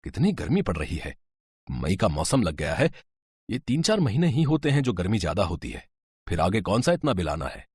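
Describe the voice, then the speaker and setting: A man's voice: sits low, moderate pace, slightly rough, no echo, exaggerated voice. A man voicing an easygoing older father-in-law in a cartoon story. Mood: coaxing. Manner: relaxed, indulgent.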